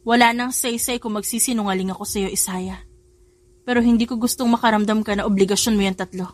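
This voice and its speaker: A woman's voice: mid-range voice, steady tone, fast talker, natural voice. A woman voicing a guarded, guilt-ridden young single mother in a romance audio story, with a defensive, emotional delivery. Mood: guilty, resigned.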